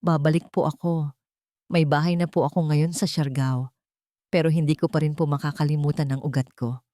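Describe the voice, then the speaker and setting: A woman's voice: low-pitched, steady tone, moderate pace, dark tone, dry recording, studio quality, close to an everyday voice. A woman voicing a hurt but resolute young adult daughter in an audio love story, earnest and emotional. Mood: reassuring.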